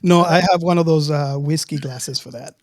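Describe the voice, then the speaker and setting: A man's voice: on the high side, normal speed, darkish tone, no room sound, studio quality. A man hosting a podcast in casual chat. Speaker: podcast host.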